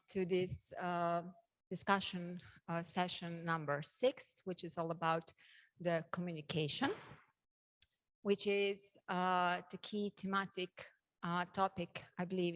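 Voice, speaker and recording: Slightly low-voiced Croatian woman moderating. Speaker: session moderator at a conference. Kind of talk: conference introduction, addressing an audience.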